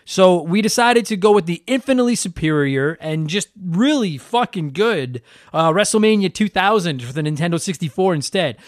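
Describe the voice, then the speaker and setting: A man's voice: sits high, expressive, moderate pace, hardly any pauses, dry recording, clean studio sound. Stuffed-up rambling podcast host man. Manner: rambling, profane, self-deprecating. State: stuffed up from allergies. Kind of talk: casual podcast chat.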